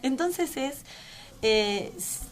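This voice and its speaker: Halting Argentine woman in her forties. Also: sits high, lively intonation. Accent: Rioplatense Argentine. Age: 40s. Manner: halting, with many restarts and repeated words. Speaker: tango musician and teacher, guest. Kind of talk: radio interview.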